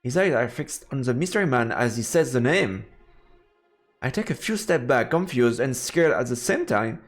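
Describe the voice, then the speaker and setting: A man's voice: mid-range voice, animated, normal speed, faint music behind. A man doing a let's play, reading game narration aloud and reacting casually as he goes.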